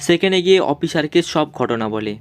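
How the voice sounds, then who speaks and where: A man's voice: a little high, lively intonation, brisk, clean tone. A man narrating a scripted story for a cartoon.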